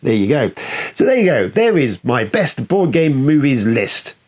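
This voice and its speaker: British man, lively and slightly husky. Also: mid-range voice, unhurried. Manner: sweary, self-deprecating. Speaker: board game review show host. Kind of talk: casual, rambling video commentary.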